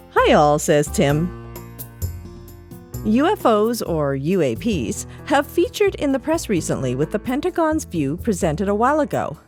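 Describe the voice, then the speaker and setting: A woman reading a newsletter expressively for a podcast. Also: mid-range voice.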